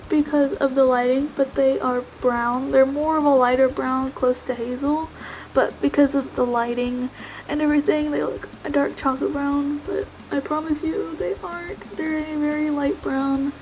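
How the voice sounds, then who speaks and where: A woman's voice: high voice, steady tone, measured pace, a little mellow, a little hiss or hum, low-quality recording. A young woman from Texas with a light American accent, chatting casually and rambling to the camera in a get-to-know-me video, laughing often. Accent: American, mildly Texan. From Texas, USA. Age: young adult. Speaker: vlogger. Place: at home.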